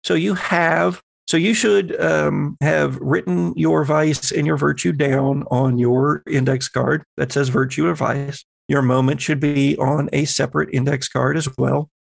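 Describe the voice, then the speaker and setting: A man's voice: on the high side, steady tone, measured pace, creaky, few pauses. A man acting as game master, explaining the rules to his players and guiding them with questions. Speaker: game master and podcast host. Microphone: close personal microphone on a remote group call.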